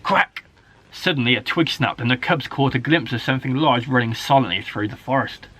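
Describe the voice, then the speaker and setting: A man, a primary school teacher, reading a children's story aloud in an expressive, dramatic way. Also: medium pitch, brisk. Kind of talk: animated children's story narration.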